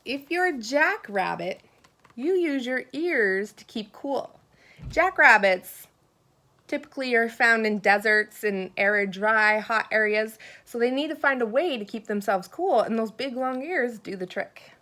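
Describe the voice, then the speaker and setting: Woman, fairly high voice, teacher, children's read-aloud, expressive delivery, questions to young listeners. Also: unhurried. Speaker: elementary science teacher reading aloud.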